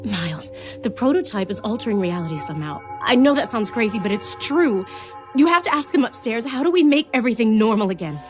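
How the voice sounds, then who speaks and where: Actress for guilt-stricken female TV editor, urgent and pleading, high-pitched and very animated, audio drama. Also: fast, over music, close to an everyday voice. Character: distressed, guilt-ridden female TV news video editor.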